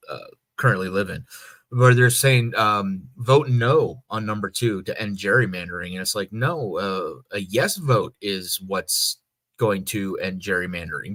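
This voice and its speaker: An American man chats as a podcast co-host in a somewhat low voice. He explains things loosely and haltingly, in a casual conversational style. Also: a bit bright. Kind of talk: casual chat.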